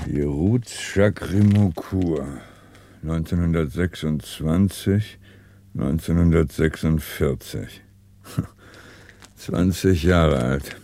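A man's voice: low-pitched, warm tone, a little hiss or hum. A man acting a dry, terse police commissioner who probes with short questions in a 1960s German radio play. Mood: pondering. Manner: terse, probing, dryly sardonic. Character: dry, laconic male police commissioner leading murder case. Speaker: actor in a radio crime drama.

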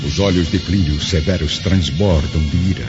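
A man's voice: sits low, fairly even intonation, moderate pace. A man reading scripted dramatic narration in a solemn, literary manner. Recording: dull hall reverb, music behind.

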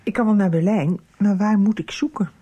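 An actress playing a sharp, accusing, anxious middle-aged mother in a radio soap, with a husky voice. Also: medium pitch, steady tone, brisk, muffled recording, natural voice. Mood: upset, urgent.

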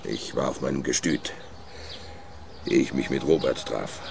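A man's voice: extremely low pitch, fairly even intonation, a little slow, gravelly, noisy background, full character voice. A man with a Swiss German accent playing a cold, arrogant wealthy wine magnate in a radio play. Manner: cold, arrogant, self-assured.

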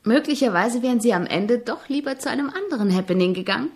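An actress playing an earnest, persistent young composition student in a German radio drama, questioning politely but insistently. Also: female voice, on the high side, animated, fast. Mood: probing.

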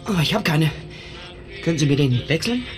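A doctor near panic stammers and rushes through his words, as an actor plays him in a radio drama. His voice runs high for a man and lurches up and down. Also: lively intonation, music in the background, lightly acted. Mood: frantic. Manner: tense, frantic, stammering. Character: nervous male doctor sliding into panic.

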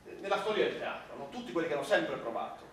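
A man's voice: on the high side, expressive, quick pace, a bit bright. An actor playing an earnest, fussy male street performer with lofty pretensions, stammering and self-important in theatrical comic style. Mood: pompous explaining. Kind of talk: theatrical comic stage acting. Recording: distant, echoey stage recording with noise.

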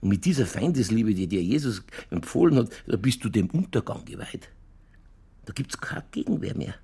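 An earthy Bavarian police detective in a radio crime drama. His voice is creaky and husky, and he holds forth with stubborn, provocative opinions in broad colloquial speech. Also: fairly low pitch, very animated, normal speed, dark tone, exaggerated voice. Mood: opinionated.

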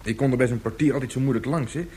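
A man plays a cautious, droll friend in a sci-fi radio drama. He is husky and quick, hedging in dry, colloquial turns. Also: mid-range voice, darkish tone, close to an everyday voice. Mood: reluctant, hedging. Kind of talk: Dutch science-fiction radio play acting.

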